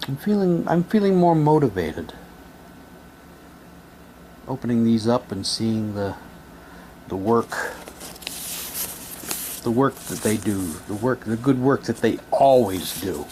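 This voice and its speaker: A man's voice: mid-range voice, expressive, slow, pauses often, mellow, a little hiss or hum, rough recording. A man doing a casual unboxing, talking in a rambling, repetitive way and reading printed items aloud. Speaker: hobby vlogger unboxing audio drama sets.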